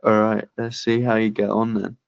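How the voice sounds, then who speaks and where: A man's voice: lowish voice, flat delivery, normal speed, vocal fry, dry recording, highs cut off. A man coaching a student on a sales call in casual, blunt talk, swearing often.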